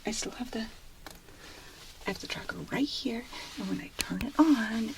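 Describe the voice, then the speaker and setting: Whispering woman in an ASMR role play. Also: on the high side. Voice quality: breathy whisper. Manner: soft, unhurried, murmuring in short pieces with pauses. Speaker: ASMR performer.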